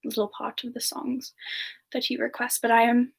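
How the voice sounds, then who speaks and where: A woman playing a sweet, bashful female demon singer, soft-spoken and hesitant, in a semi-ASMR livestream chat. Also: fairly high pitch, level tone, moderate pace, gravelly, breathy edge, rough recording. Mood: bashful, friendly.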